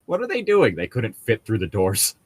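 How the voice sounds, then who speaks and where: A man's voice: fairly low pitch, huge pitch swings, moderate pace, slightly rough, dry recording, studio quality. A man co-hosting a read-along show, chatting casually between read passages with a mocking, sardonic manner.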